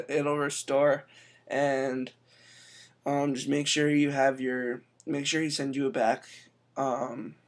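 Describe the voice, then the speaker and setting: A young man giving a casual, chatty tech tutorial, full of quick asides, recorded indoors on a laptop webcam mic. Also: mid-range voice. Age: young adult. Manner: chatty, quick asides, says "I'm telling you". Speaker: tech vlogger. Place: indoor room.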